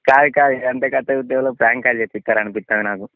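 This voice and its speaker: Fast-talking Telangana man on a tinny phone line. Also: medium pitch, fairly even intonation, no room sound. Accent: Telangana Telugu. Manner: blunt, questioning, wary. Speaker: friend receiving a prank phone call. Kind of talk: casual phone chat. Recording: tinny, dry, band-limited phone line.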